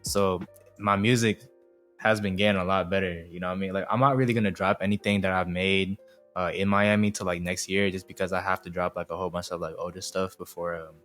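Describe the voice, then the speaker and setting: A young man with a deep, low-pitched voice talks in a loose, slangy way, dropping "you feel me" again and again. He is an easygoing interview guest speaking over faint music. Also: level tone, quick pace, fairly bright tone. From born in Indonesia, raised in the US. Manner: laid-back slangy talk, constant "you feel me". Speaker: guest singer and producer. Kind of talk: casual chat.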